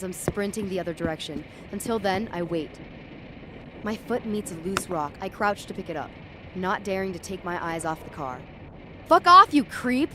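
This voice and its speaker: A woman's voice: medium pitch, natural voice. A woman playing an uneasy, tripping young woman walking home at night, narrating in the first person in a dramatic audio story. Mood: angry, defiant. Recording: clear street and traffic ambience behind.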